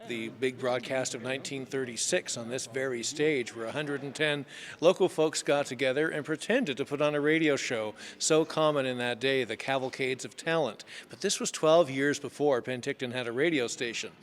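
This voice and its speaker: A man's voice: mid-range voice, moderate pace. A man giving a conversational interview as the creator of a stage show.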